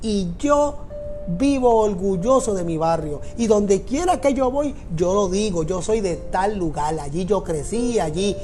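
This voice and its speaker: Middle-aged Puerto Rican male pastor, warm mellow tone, emphatic repeating sermon delivery, faint music bed. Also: high voice, animated, a little slow. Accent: Puerto Rican Spanish. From Puerto Rico. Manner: emphatic, repeats phrases for weight.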